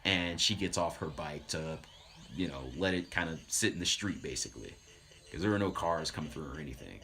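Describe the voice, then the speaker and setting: A man's voice: rumbling low, expressive, a little fast, creaky, bright, forceful tone. A man casually telling anecdotes as a streamer, in an informal, self-deprecating way.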